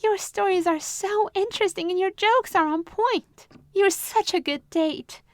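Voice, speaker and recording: A high, honeyed voice of a possessive therapist who controls her patient with calm sweetness, as a woman acts her out. The sound is close and dry, with no room around it, like an intimate ASMR roleplay. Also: a little slow, no echo. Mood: flirty, sweet. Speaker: voice actress.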